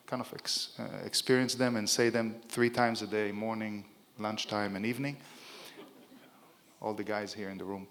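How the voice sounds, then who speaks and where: A man's voice: fairly low pitch, fairly bright tone. A middle-aged man with an Israeli accent gives an informal, joking conference speech with many fillers to a large room. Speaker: keynote speaker. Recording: reverberant event hall.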